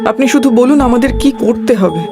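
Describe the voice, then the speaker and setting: A woman's voice: a little high, expressive, quick pace. A woman playing a determined, insistent young wife in a melodramatic horror cartoon. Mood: resolute. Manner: insistent, forceful wording. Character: determined, demanding young wife desperate for a child. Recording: music behind. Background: music.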